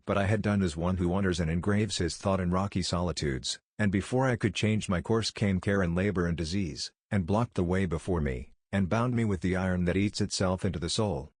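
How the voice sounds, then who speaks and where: A frail, ailing scholar pours out his visions in fervent, solemn, old-fashioned phrasing, read by a man. The voice sits very low and deep. It is recorded dry and close. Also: fairly quick, few pauses, dry recording.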